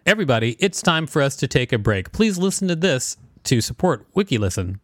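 A man's voice: mid-range voice, big pitch swings, brisk, no echo. A man co-hosting a podcast, reading an article aloud with casual banter. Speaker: podcast co-host.

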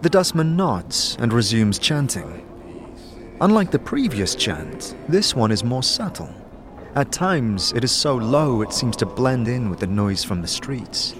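A man narrating a fantasy audio drama in a measured, atmospheric storytelling style. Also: mid-range voice, sing-song, noisy background. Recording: clear background music.

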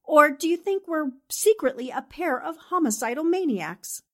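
Woman playing 1940s radio newspaperwoman, highish voice, very animated, brisk, determined, commanding. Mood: firm, persuasive. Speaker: actor in an amateur radio drama re-creation.

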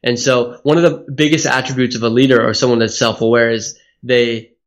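A man's voice: medium pitch, steady tone, brisk. A man from Hawaii, a speaking coach, giving earnest, reflective interview answers that explain his ideas at length. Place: Australia.

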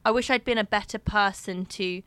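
A young woman chats candidly on a podcast, circling back and restarting her sentences. Her voice is bright and smooth, and the recording is dry and close. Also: medium pitch, brisk, no room sound. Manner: candid, rambling with many restarts. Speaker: podcast host. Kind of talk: casual chat.

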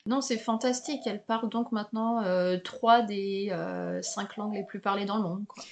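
A middle-aged French woman hosting a relaxed interview, talking with many hesitations and restarts. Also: mid-range voice, normal speed, gravelly. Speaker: podcast host. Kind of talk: casual conversational interview.

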